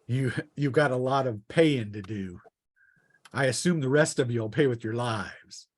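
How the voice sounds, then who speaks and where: A man's voice: medium pitch, moderate pace, no room sound, lightly acted. A man voicing a sneering, threatening male bandit leader in a tabletop fantasy game. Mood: mocking menace. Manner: mocking, threatening.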